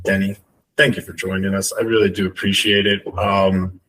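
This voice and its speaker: A man's voice: sits low, flat delivery, moderate pace, a bit bright, distant mic, rough recording. A man hosting an interview show, introducing it and putting questions to his guest. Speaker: podcast host.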